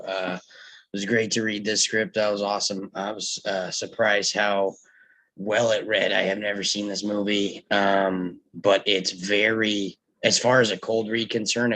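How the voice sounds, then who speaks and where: A man with a fairly low voice that comes across bright and punchy. He speaks casually, introducing himself as an actor on a video call. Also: poor audio.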